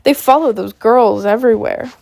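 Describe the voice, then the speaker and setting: A smug young knight with a cruel streak, read by a woman who is sick. He speaks with easy mockery in a gravelly voice. The sound is dry and close. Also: fairly high pitch, moderate pace, darkish tone, dry recording.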